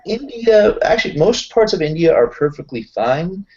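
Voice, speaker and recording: A man's voice: sits high, sing-song, moderate pace, darkish tone, dull audio. A man chatting casually as a player in a tabletop roleplaying group, explaining things in a discursive way. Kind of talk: casual in-character group chat.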